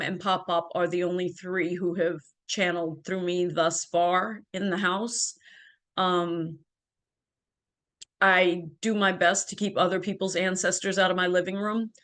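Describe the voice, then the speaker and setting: A woman's voice: on the low side, fairly even intonation, slow pace, fairly bright tone. A woman guest telling her personal story in an interview, earnest and full of ums and restarts, on a video call from home. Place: home, by a ring light.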